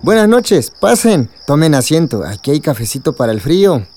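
An actor playing a hospitable, earnest middle-aged village leader with a Mexican accent, formal and courteous, in a radio drama. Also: male voice, fairly high pitch, very animated, moderate pace, music in the background. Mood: welcoming.

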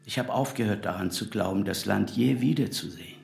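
A lowish, gravelly male voice with clear room echo. He is a middle-aged exile in a radio drama, courteous and grave, speaking in a measured, natural way. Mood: grave, sorrowful. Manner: polite, measured.

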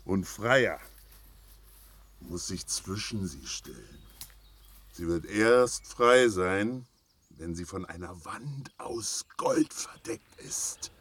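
An actor playing a greedy, domineering giant in a German fantasy radio play, giving exacting commands. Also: male voice, low voice, expressive, takes it slowly, a bit bright, breathy edge, heavily acted. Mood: bossy, exacting. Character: greedy, bossy male giant demanding his gold.

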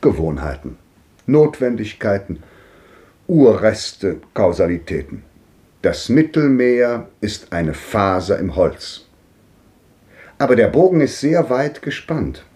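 Old world-weary thinker in a 50s radio play, very animated pitch, composed and declamatory. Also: male voice, slow pace. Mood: reflective, declamatory. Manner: declamatory, rhetorical phrasing. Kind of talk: 1950s German radio drama monologue.

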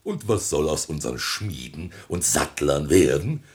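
Pompous, deep-voiced old mayor. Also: male voice, big pitch swings, unhurried, exaggerated voice. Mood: smug. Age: older. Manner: pompous, declamatory, blustering, stammering officialese. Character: pompous, self-important elderly small-town mayor and father. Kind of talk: theatrical radio comedy acting.

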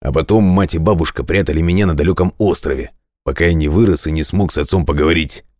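A man playing a mighty, ageless thunder god in an audio fairy tale, commanding and clearly spoken. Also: a little mellow, no room sound, studio quality. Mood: confiding, proud. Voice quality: very deep, resonant, clearly enunciated. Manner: weighty, commanding, deliberate.

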